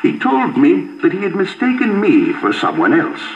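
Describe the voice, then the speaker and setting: A man's voice: sits high, wildly swooping pitch, normal speed, lo-fi sound. Dramatic, swooping male ghost story narrator. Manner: theatrical, suspenseful. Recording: vinyl record with music. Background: music.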